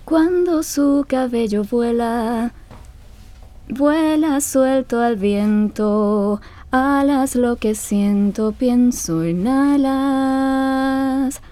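Casting: loyal young Costa Rican girlfriend type, radio drama, high mellow voice, gushing and curious. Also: extremely slow. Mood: gentle, curious. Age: young adult. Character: warm, curious, admiring young woman, loyal friend.

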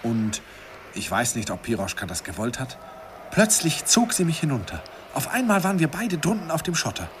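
A man's voice: on the high side, sing-song, moderate pace, a bit bright. An actor narrating as an older man wistfully recalling his youth in a classic radio play. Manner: wistful storytelling, chuckling. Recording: hissy old radio recording.

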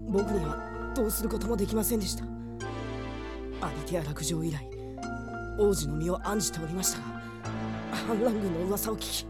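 A woman's voice: medium pitch, moderate pace, pauses often, lightly acted. Earnest young boy mage, voiced by a woman, over music. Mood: weary devotion. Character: earnest, loyal, weakened teenage boy mage. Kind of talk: anime audio drama acting. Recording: background music under the voice.

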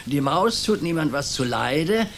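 An actor playing a gullible, easily alarmed small-town mayor in a radio play, asking bewildered questions. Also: male voice, on the high side, lively intonation, measured pace, vocal fry, slight background noise. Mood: smug.

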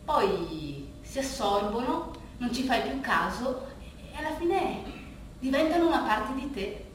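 A high-voiced woman looking back on her life in a stage monologue. She rambles in a halting, confiding, colloquial way, repeating herself as she goes. The sound is very echoey and far from the microphone, with faint noise. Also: sing-song. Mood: wistful, wry. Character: rambling, bittersweet woman reminiscing about her athletic youth. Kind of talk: theatrical monologue, conversational storytelling. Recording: echoey room, distant mic, faint noise.

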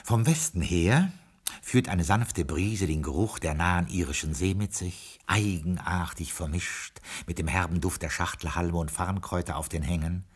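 A man's voice: low-pitched, moderate pace, husky. An actor playing a prim, loyal and pedantic butler in a 1960s radio play, formal and drily deferential as he recounts his memoirs. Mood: ceremonious, wry. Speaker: butler and narrator.

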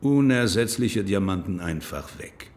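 An actor playing a grave, insistent government official from Belgium in a radio drama, formal and deliberate in delivery. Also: male voice, lowish voice, expressive, darkish tone. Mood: grave explaining. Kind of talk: naturalistic radio drama acting.

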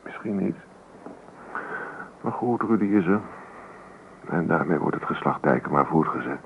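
A young husband in an old radio play, stubborn and dismissive. His voice is very low with a heavy creak, and he talks in a curt, sardonic way. The sound is narrow and phone-like with some hiss. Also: animated, normal speed, full character voice. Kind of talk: naturalistic radio drama acting.

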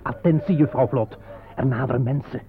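An actor playing a cautious, proper gentleman escort in a comic radio play, formal, pedantic and anxious. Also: medium pitch, a little fast, lightly acted. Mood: officious. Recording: tinny old radio play recording, faint noise.

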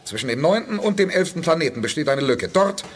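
An actor playing a confident, stubborn spaceship commander with firm, dryly ironic delivery in a 1980s German radio play. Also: male voice, on the high side, animated, fast talker, a little hiss or hum, lightly acted. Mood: explaining.

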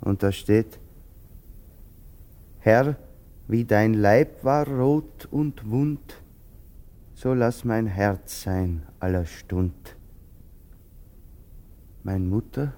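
An actor in a German radio play voicing a resigned, melancholy soldier of thirty, halting and brooding. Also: male voice, lowish voice, warm tone. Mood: resigned, tender. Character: resigned, haunted thirty-year-old common soldier, simple and melancholy.

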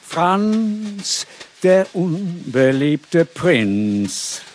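Woman playing a very slow, deep-voiced storyteller. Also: very animated, music in the background, heavily acted. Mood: measured. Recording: noisy, with clicks.

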